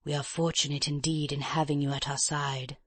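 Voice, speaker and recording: A reader doing a frail old professor in an audiobook, a chain-smoking invalid with a mincing, overly courteous way of speaking. He sounds subdued and self-pitying, a little lower and softer than the narration. It is recorded close and dry. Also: level tone, fairly quick, breathy edge, no echo. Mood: fretful. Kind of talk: audiobook character reading.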